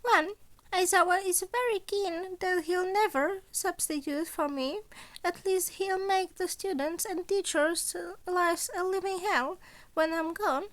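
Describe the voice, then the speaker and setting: Woman voicing a high-pitched, sly little principal creature. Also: unhurried, a bit bright. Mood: calm, amused. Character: amused, scheming principal creature, feigning innocence, polite mentor. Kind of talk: fan fiction character voice.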